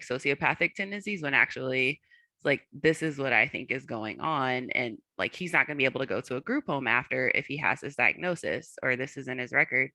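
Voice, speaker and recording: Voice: mid-range voice, pushed and bright, no room sound. A young adult clinician speaking candidly on a panel, earnest, with many fillers.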